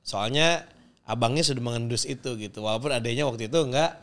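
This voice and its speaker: A man's voice: mid-range voice, fast, fairly bright tone. A man from Java who hosts a podcast, chatting casually and teasing his guest in a playful way. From Java, Indonesia. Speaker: podcast host. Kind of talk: casual interview chat.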